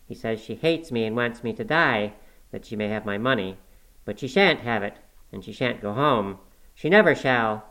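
A man's voice: lowish voice, animated, normal speed, a bit bright, poor audio. A man reading as a sulky, selfish, sickly young man in an audiobook, with a listless, petulant manner. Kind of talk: audiobook character acting.

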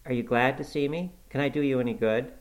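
Man voicing a soft, higher-pitched young lady. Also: quick pace, lightly acted. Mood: gentle, hopeful. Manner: soft, coaxing. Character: gentle, eager young lady, affectionate. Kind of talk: audiobook character acting.

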